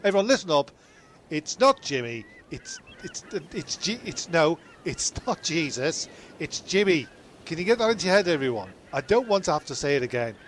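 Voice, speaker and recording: A man's voice: a little high, huge pitch swings, normal speed. A man playing scripted comedy with ad-libbed asides, exasperated, stammering and repeating himself. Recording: faint background noise.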